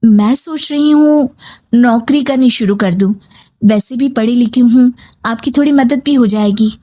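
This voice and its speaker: Lady doing a worried young housewife in a family story, highish earnest voice, respectful. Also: unhurried, mellow. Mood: gentle, dutiful. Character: dutiful, worried young married woman, self-sacrificing. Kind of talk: story voice acting.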